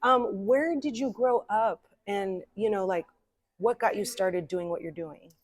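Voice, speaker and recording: A woman hosting an interview show, upbeat and encouraging, chiming in with quick affirmations. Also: medium pitch. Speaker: podcast host. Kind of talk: casual interview chat.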